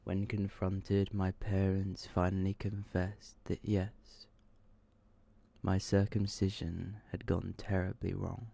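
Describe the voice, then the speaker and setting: A man with a very low, creaky voice reads scary stories in an ASMR style. His delivery is slow and hushed, often sinking toward a whisper, with a dark, mellow tone. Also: little pitch movement. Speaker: ASMR host reading short horror stories. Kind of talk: ASMR scripted narration.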